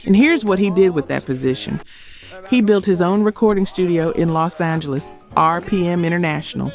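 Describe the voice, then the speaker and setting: A man delivering scripted documentary narration in emphatic short phrases with dramatic pauses. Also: high voice. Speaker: narrator of a music history video. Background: background music.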